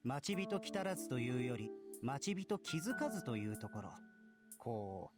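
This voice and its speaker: A man's voice: mid-range voice, big pitch swings, fast talker, over loud music, lightly acted. An actor playing a teenage male student who talks in an archaic, theatrical samurai style for a visual novel. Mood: knowing. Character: teen male student talking like an old samurai. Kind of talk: Japanese visual novel voice acting.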